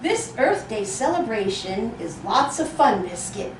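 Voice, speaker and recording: A woman reading a cheerful little girl who talks gently to her puppy in a sing-song way. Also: on the high side, very animated, measured pace, a little hiss or hum. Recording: roomy echo, distant mic.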